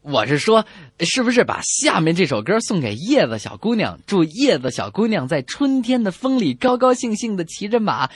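A woman co-hosting a comedy radio show, trading scripted banter in an animated, bickering manner. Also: medium pitch, moderate pace, few pauses, slightly rough. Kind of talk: scripted comic banter between radio hosts.

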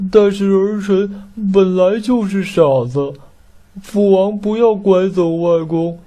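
A man's voice: high voice, warm tone. A man voicing a naive, childlike and polite young crown prince who seems simple-minded, in a Chinese costume radio drama.

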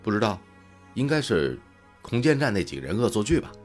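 Deep-voiced, impatient young man played by an actor. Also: very animated, brisk, faint music behind. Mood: casual, brisk. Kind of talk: Mandarin audio drama acting.

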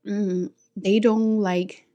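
A woman's voice: medium pitch, little pitch movement, very slow, warm tone. A young woman from China with a Chinese accent, an English teacher casually recounting her experiences with many restarts and fillers. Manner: frequent restarts and filler words, talking through experiences. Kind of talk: casual personal storytelling.

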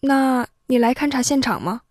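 An eager, curious young student, played by a woman in an audio drama. The voice sits fairly high and is recorded very dry, with no room around it. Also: moderate pace, darkish tone, dry recording. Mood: curious, insistent.

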